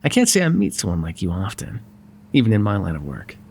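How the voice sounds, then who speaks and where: Man acting a wry mobster with animated pitch. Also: fast, creaky, slight background noise, full character voice. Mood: soft, apologetic. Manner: sardonic and conversational, swinging from exasperated to soft. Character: wry, courteous, exasperated male mafia boss, charming. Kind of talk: ASMR roleplay voice acting.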